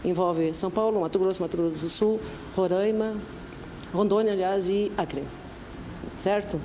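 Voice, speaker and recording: A woman's voice: mid-range voice, steady tone, dark tone, slight background noise. Woman explaining procedures. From Brazil. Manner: explanatory, spells out details slowly. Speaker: honorary vice-consul, guest. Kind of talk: interview answers.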